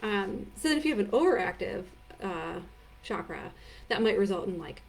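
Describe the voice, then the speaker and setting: A woman's voice: medium pitch, big pitch swings, normal speed, vocal fry, recorded from a distance, a little hiss or hum. A woman leading a live online training, talking casually with many fillers and answering viewer comments. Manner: chatty and warm-hearted, many fillers like "um" and "like"; reads viewer comments aloud and answers them. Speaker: energy healing teacher. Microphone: live video stream.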